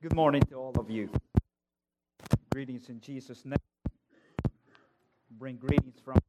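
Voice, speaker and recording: A man from El Salvador, preaching a sermon as a guest preacher and building from quiet words to loud emphasis. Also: medium pitch, measured pace, heavy background noise.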